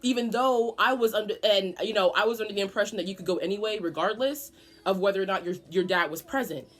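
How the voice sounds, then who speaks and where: Brief: eighteen-year-old woman vlogger, dramatic, swears a lot, mid pitch. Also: fast, soft background music. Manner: animated, dramatic, swearing often. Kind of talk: casual storytime.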